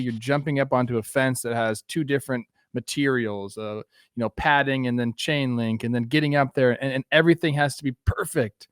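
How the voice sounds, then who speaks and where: An easygoing male co-host talking baseball in relaxed, chatty phrases with some filler. His voice sits at a middle pitch, recorded close and dry. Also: dry recording. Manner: easygoing, conversational, with filler words. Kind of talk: casual sports talk show.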